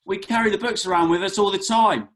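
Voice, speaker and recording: A man's voice: sits high, quick pace, a bit bright. An actor playing a cheeky, bumbling young British man, colloquial and hesitant, in a read-through of a comedy script. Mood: defiant, jokey. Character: easygoing, cheeky, bumbling young bloke at festival.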